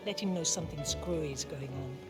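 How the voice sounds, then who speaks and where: Actress doing a shrewd outspoken game lady, wry and blunt, kinda low voice, music loud behind. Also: fairly quick, close to an everyday voice. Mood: wry, suspicious. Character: shrewd, wry, outspoken woman power broker. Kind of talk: video game dialogue.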